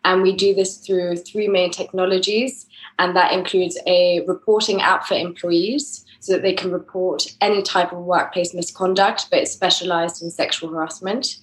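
A young woman with an English accent and a lowish voice explains her work in clear, organized answers. Her voice comes across with noticeable room echo. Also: level tone, moderate pace. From England. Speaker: startup co-founder and CTO. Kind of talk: interview answers.

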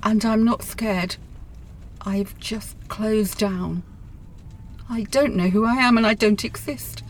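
A woman's voice: medium pitch, fairly even intonation, slow. An actress playing a withdrawn, despairing middle-aged career woman, terse and guarded, in a radio drama. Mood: numb, despairing.

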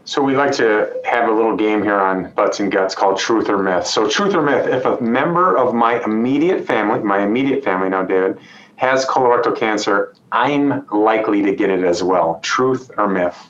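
A man's voice: on the low side, a little hiss or hum. A middle-aged man hosting a medical podcast, conversational and friendly, with casual asides as he sets up questions. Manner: friendly, conversational, uses "you know" and quick asides. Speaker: podcast host, surgeon. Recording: roomy, slightly dull audio with faint ambience.

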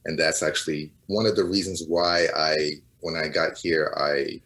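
A middle-aged man from Portugal, speaking as a DJ being interviewed, in a reflective, halting conversational way. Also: extremely low pitch, fairly even intonation, fairly bright tone. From Portugal, of Cape Verdean family. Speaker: DJ, interview guest.

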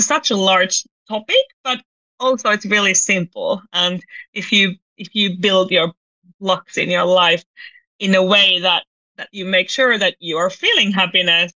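A woman from Finland with a Finnish accent, a company founder speaking as a podcast guest and pausing to find her words. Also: medium pitch, sharp tone, lo-fi sound. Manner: thoughtful, searching for words, light laughs. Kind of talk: podcast interview.

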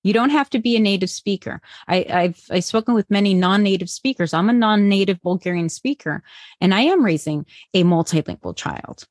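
A woman's voice: mid-range voice, lively intonation, a little fast, no room sound. Earnest woman with a dry close sound. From Colombia. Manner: earnest, encouraging, with frequent restarts. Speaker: guest, bilingual parenting educator. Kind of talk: podcast interview answers.

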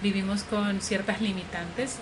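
A woman from Ecuador telling her story as an interview guest, in a slow, relaxed way. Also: medium pitch. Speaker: interview guest, coffee farmer. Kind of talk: conversational interview. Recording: noisy background ambience.

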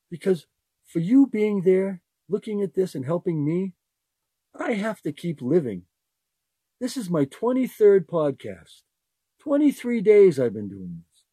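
Husky older man, earnest rambling host. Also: on the high side, mellow, no room sound. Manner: earnest, rambling, repeats phrases. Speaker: podcast host. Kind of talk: unscripted heartfelt monologue.

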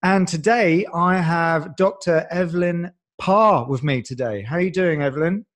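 A man's voice: on the high side, normal speed. A man hosting a science podcast, talking enthusiastically and at length with many fillers and restarts. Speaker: podcast host. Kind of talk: casual podcast interview.

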